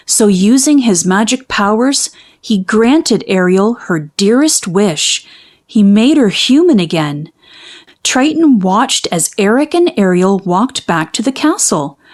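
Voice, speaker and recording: A woman narrating a children's storybook in an expressive, measured storytelling manner. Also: medium pitch. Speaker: children's book read-aloud narrator.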